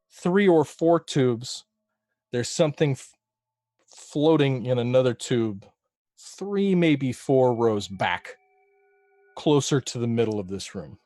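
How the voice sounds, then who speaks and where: A man narrating a tabletop role-playing game as game master, slowly describing a scene in detail. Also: medium pitch, a little mellow, no echo.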